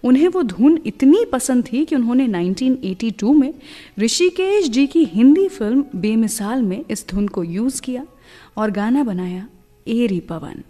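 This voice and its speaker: Higher-voiced woman radio host, reverberant. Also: lively intonation, measured pace, mellow. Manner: friendly, chatty delivery with English words mixed in. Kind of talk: scripted radio presenting.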